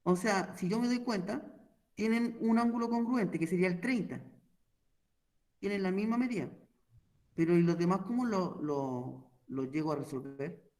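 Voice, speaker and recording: High-voiced man teaching math. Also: normal speed, a little mellow. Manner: explanatory, checks in with repeated "¿ya?". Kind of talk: online math lesson.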